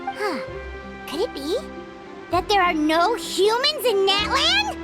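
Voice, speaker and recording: A woman voicing a small, excitable, childlike fairy companion with a squeaky voice in an anime game. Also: slow pace, exaggerated voice. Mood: curious, musing. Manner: exclamatory, refers to self in third person. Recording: loud music behind the voice.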